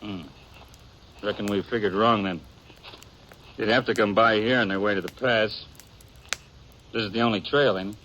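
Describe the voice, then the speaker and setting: An actor playing an eager young cowboy in a 1950s radio western, a smooth talker with a folksy, hesitant American Western drawl. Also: male voice, lowish voice, fairly even intonation, moderate pace, pauses often, fairly bright tone, lightly acted. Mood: probing. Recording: muffled, noisy 1950s radio recording.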